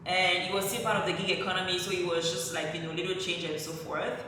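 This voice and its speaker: A woman's voice: lowish voice, level tone, quick pace, pushed and bright. A woman from Cameroon, a French speaker, tells a personal story to viewers in a conversational way, acting out her own thoughts. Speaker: online content creator. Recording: very echoey room, distant mic, faint noise.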